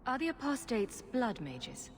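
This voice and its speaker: A woman's voice: a little high, big pitch swings, measured pace, slight background noise. An actress playing a confident female mage hero in a video game, composed and direct with a wry edge. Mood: businesslike. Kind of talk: dramatic video game acting. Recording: long hall reverb, music behind.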